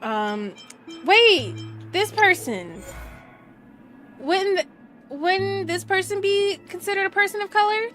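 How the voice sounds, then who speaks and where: A woman streaming a game, chatting casually with viewers in halting, repeated half-phrases and exclamations. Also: very high-pitched, animated, fairly bright tone. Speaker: live streamer playing a game. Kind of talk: casual livestream chat with viewers. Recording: game music behind the voice.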